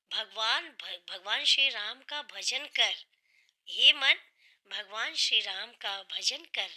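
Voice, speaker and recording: A woman with a sore, hoarse throat, earnestly explaining a devotional hymn line by line and patiently restating each meaning. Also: on the high side, sharp tone. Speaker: devotional singer and channel host.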